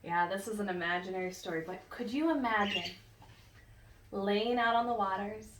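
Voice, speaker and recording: A woman reading a picture book aloud to children in an expressive, teacherly way, pausing to ask questions and explain. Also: mid-range voice. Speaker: read-aloud storyteller for children. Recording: roomy, distant mic with faint noise.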